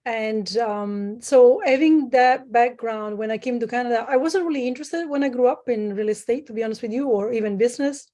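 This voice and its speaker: A woman's voice: fairly high pitch, brisk, hardly any pauses, dark tone. A woman from Sicily, Italy, talking as a guest on a remote call, in a casual, hesitant way with many fillers. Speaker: guest, private lender. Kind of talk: casual interview.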